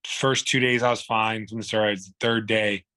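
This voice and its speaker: A man's voice: fairly low pitch, steady tone, normal speed, sharp tone, dry recording. A man, telling his own illness story in a blunt, profane, rambling way. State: hoarse. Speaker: guest. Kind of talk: candid personal storytelling.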